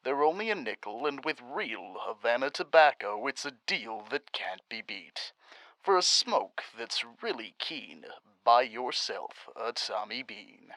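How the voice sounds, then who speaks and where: A man as a suave 1930s radio ad pitchman. He takes his time and lets the pitch swing widely, selling in a close, dry studio sound. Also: big pitch swings, unhurried, bright, forceful tone, no room sound, heavily acted, poor audio.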